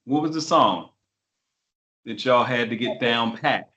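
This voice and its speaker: A man's voice: medium pitch, unhurried, pushed and bright. A man hosting a casual interview, teasing and jumping in with jokes. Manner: playful, teasing, jumps in with jokes. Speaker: talk show host.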